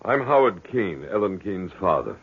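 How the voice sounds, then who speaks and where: A stubborn, worried father in a vintage radio play. The voice is low and husky, halting yet insistent, and heavily muffled by the recording. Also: big pitch swings, full character voice. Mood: grave, polite. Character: worried, stubborn middle-aged father of a grown daughter. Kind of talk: old-time radio drama acting.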